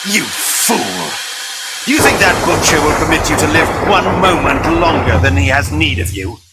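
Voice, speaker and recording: An actor playing a proud, vengeful vampire lord in a video game, declaiming in a cold, formal and theatrical manner. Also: male voice, fairly high pitch, sing-song, normal speed, very noisy. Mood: contemptuous, vengeful. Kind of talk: dramatic video game voice acting.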